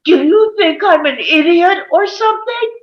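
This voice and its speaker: A woman playing a stubborn, furious male juror in a dramatic table read, ranting haltingly with anguish. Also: very high voice, animated. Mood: angry, scornful. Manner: ranting, halting and repetitive, swinging to anguished shouts. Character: stubborn, furious man on a jury, breaking down. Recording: muffled, roomy home recording.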